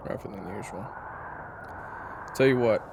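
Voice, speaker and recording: A man's voice: lowish voice, a little slow, creaky, noisy background. A man playing a brooding, grumpy but caring hunter in an audio roleplay, speaking in a wry, exasperated, conversational way. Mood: wry, reluctant. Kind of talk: intimate audio roleplay acting and storytelling.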